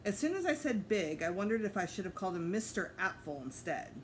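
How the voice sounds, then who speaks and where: A woman's voice: mid-range voice, sing-song, fairly quick, a little hiss or hum. A woman narrating a children's book aloud as a teacher reading to students.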